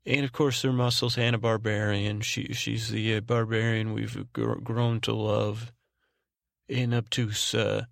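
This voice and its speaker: Male sleep podcast host, mid-pitched with heavy vocal fry, very dry sound. Halting, repetitive, meandering bedtime rambling. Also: level tone, no echo. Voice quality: soothing, soft-spoken drone. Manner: meandering, halting, repeats and trails off. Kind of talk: rambling bedtime monologue meant to lull.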